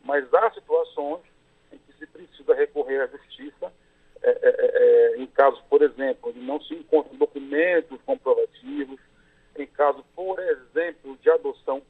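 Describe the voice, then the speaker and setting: A Brazilian lawyer answers interview questions in a measured, step-by-step way, pausing on fillers as he goes. His voice sits a little high and sounds husky. It all comes through a thin, tinny phone line. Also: expressive.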